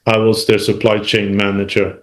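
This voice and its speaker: A man from Sweden, speaking as an interview guest about his working life in a halting, self-correcting conversational style. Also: lowish voice, monotone. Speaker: interview guest, manufacturing executive. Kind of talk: recounting career experience in conversation.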